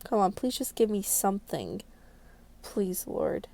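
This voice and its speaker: A woman's voice: mid-range voice, sing-song, unhurried, gravelly, mellow. A woman reading a visual novel aloud as a game video creator, narrating dramatically and expressively with casual asides.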